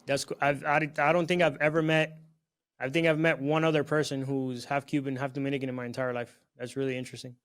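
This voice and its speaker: A young man from South Florida hosting a podcast, talking in a relaxed, chatty way with lots of "bro" and "you know what I mean.". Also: mid-range voice, fast. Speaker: podcast host. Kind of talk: casual chat.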